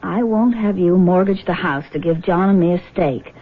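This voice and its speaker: A woman's voice: on the low side, moderate pace, breathy edge, phone-quality sound. A woman in a 1970s radio drama playing a caring, firm and independent young daughter, earnest and direct. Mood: firm, fond. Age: young adult. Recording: band-limited vintage radio broadcast.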